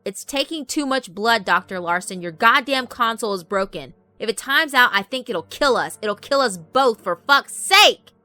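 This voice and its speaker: A woman voicing a desperate, angry man trapped in a deadly game, pleading forcefully in a horror story. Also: on the high side, expressive, moderate pace, few pauses, no echo, voice pushed up.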